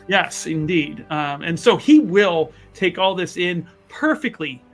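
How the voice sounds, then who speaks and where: A man with a slightly high voice talks as a player in a tabletop game. He slips between casual table talk and wordy, playfully formal roleplay, over faint background music. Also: expressive, slow.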